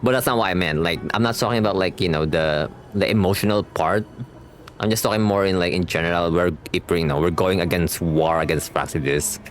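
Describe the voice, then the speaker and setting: A man's voice: low-pitched, a little fast, slight background noise. A young man giving casual, slangy reaction commentary as a game streamer, rambling and rephrasing as he goes.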